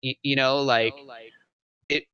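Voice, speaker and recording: A man's voice: mid-range voice, flat delivery, takes it slowly, sharp tone, highs cut off. A man giving casual critique in a group discussion, tentative and thinking aloud as he goes. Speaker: table read participant giving script notes.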